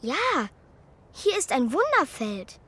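Naive little wooden puppet boy, high childlike voice, takes his time, dreamy and eager, kids radio play. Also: slow, full character voice. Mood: boastful. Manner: childlike, excitable, earnest.

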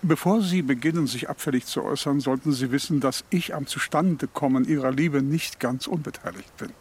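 An actor voicing a pompous, easily offended talking piece of advice in a children's radio play, speaking formally and indignantly. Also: male voice, medium pitch, brisk, a little mellow, husky, lightly acted.

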